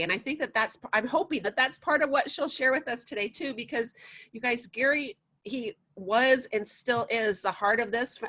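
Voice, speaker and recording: A woman introduces her guest on a call, her voice bright and forceful. She praises freely but trips over her words, restarting as she goes. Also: medium pitch. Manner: warm praise, hesitant restarts. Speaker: host.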